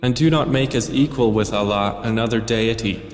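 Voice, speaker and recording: A man reading a scripture translation in a slow, solemn, even voice. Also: on the low side, soft background music. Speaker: translation reader. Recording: echoey, long hall reverb.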